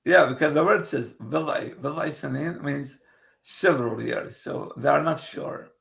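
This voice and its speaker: Male guest, Kurdish first language, unhurried and hesitant, slightly high gravelly voice. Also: a bit bright. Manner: halting, many pauses and fillers. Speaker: guest, translator. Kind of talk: casual interview conversation.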